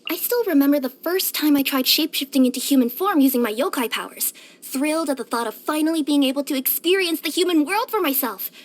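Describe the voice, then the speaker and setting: A young cat-spirit courier girl from a video game. Her voice is extremely high and cutesy, eager and cheerful by temper. It swings up and down expressively as she talks. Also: fast talker, few pauses. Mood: warm, chatty. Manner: expressive, cutesy, with gasps and hesitant murmurs. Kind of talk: scripted video game character voice lines.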